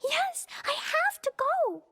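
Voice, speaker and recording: A woman's voice: extremely high pitch, animated, takes it slowly, full character voice. A woman voicing a sweet, earnest, innocent little girl in a video game, speaking in a childlike way. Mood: eager. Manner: childlike, refers to herself by name. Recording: children's music behind. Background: children's music.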